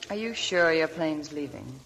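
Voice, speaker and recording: An actress playing a weary, heartsick young wife in a 1940s radio drama. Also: female voice, sits low, expressive, unhurried, a bit bright, heavily acted, low-quality recording. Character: weary, heartsick young wife telling her sad past. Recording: old 1940s radio broadcast, audience murmur.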